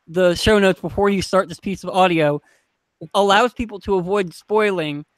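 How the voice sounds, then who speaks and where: High-voiced man on a panel. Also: normal speed, no room sound. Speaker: podcast panelist. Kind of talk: casual panel discussion.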